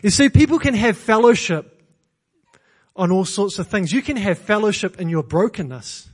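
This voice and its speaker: A man's voice: high voice, lively intonation, moderate pace. A man from New Zealand with a New Zealand accent, preaching a sermon in church with earnest emphasis, repeated phrases and self-deprecating humor.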